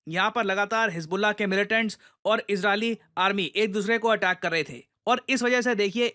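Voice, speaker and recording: A man's voice: high-pitched, pushed and bright, clean tone. A man with an Indian accent giving emphatic explanatory news commentary, addressing listeners directly and mixing in English phrases.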